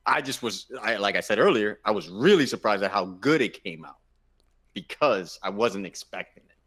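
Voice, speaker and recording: A man with a fairly low voice talks casually on a podcast panel. His intonation moves around a lot as he pushes his point insistently, cutting into his own sentences to rephrase. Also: lively intonation, moderate pace, a bit bright, breathy edge. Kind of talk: casual group debate about movies and games.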